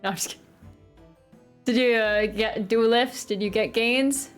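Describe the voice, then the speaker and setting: A woman's voice: fairly high pitch, fairly even intonation, normal speed, smooth. An American woman streaming live, chatting casually and giggly with her viewers between reading game lines. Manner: chatty, giggly, talks to chat. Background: faint pop music playlist.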